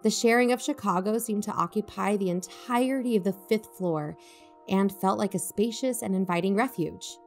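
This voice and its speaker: An actress plays an earnest female podcast investigator. Her medium-pitched voice is composed and measured, telling the story like a report. Faint music sits underneath. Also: a little mellow, lightly acted. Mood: measured, descriptive. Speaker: podcast host. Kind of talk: scripted narration in audio drama.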